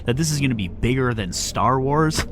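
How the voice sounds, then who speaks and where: A man playing an agreeable but doubtful programming executive, speaking haltingly and diplomatically in a casual meeting style. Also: medium pitch, lively intonation, close to an everyday voice. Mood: politely doubtful. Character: hesitant, agreeable male streaming programming executive hearing pitches. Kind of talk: improvised-sounding mock meeting, conversational. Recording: noisy room ambience.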